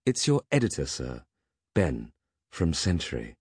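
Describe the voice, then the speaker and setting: A man's voice: extremely low pitch, huge pitch swings, measured pace, a little mellow, husky, dry recording, clean studio sound, exaggerated voice. A man voicing a faithful robotic butler in an audiobook, formal, polite and patient, with a metallic chirp. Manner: polite, deferential, unflappably patient.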